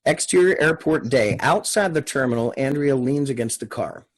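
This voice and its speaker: A man narrates screenplay directions for a table read. He speaks in short, measured phrases. His voice sits at a medium pitch with a husky edge. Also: animated, poor audio. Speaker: stage-direction reader.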